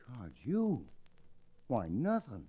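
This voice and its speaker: An actor playing a sly, scheming, smooth-talking cattle baron villain in an old-time radio Western. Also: male voice, mid-range voice, wildly swooping pitch, slow pace, mellow, muffled recording, full character voice. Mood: falsely polite. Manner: scheming, falsely reasonable, gloating.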